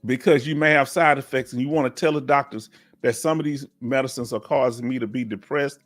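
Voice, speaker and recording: A man's voice: medium pitch, fairly even intonation, fairly quick, faint music behind. A man in his fifties hosting a casual live Q&A, talking folksy and loose, repeating himself and urging viewers to speak up. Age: 50s. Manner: folksy, repeats himself, coaxes the audience to join. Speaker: livestream host and veteran claims advisor.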